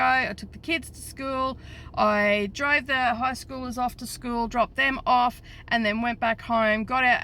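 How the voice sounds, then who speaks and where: Middle-aged aussie lady rambling to her phone, croaky in the morning, faint noise behind. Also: fairly high pitch, sharp tone. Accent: Australian. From Australia. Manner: rambling, chatty, lots of fillers and asides. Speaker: vlogger. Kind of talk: casual chat to camera.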